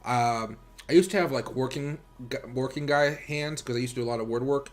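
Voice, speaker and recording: A man's voice: mid-range voice, a little fast. An American man hosting a livestream with casual, rambling chat and commentary, speaking informally and directly to his viewers. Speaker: livestream host and crypto trader.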